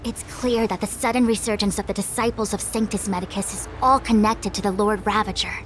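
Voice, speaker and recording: A woman's voice: mid-range voice, steady tone, a little fast, slight background noise, lightly acted. A woman voicing a resolute, serious strategist in a video game, speaking formally, firmly and with urgency. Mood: stern, urgent. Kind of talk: video game cutscene acting.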